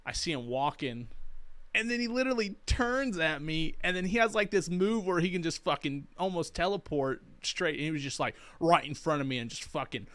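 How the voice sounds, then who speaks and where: A man's voice: on the high side, animated, brisk, a bit bright, no room sound. A young man co-hosting a casual podcast, laid back and swearing freely as he tells a story. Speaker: podcast co-host. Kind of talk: casual chat.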